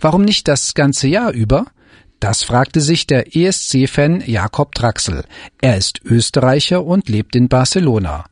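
A man presenting a radio feature with scripted, lively narration. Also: medium pitch, unhurried, breathy edge, no room sound, clean studio sound.

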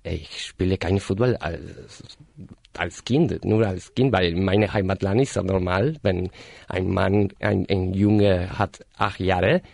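A man in his thirties from Argentina, a guest speaking halting, with many fillers and self-corrections in a casual interview. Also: low voice, vocal fry, darkish tone, no room sound. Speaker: guest, party organizer.

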